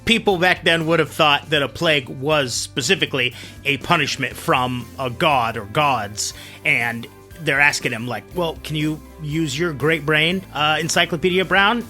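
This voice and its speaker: Bright-voiced man giving jokey commentary. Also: fairly high pitch, a little slow, soft background music. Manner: slangy, jokey, conversational. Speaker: host, storyteller. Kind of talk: casual joking commentary on myths.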